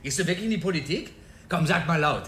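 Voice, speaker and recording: An actor playing a teasing, theatrical police officer in a crime radio drama. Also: male voice, fairly high pitch, expressive, fast, bright, forceful tone, slight background noise. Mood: jovial, mocking.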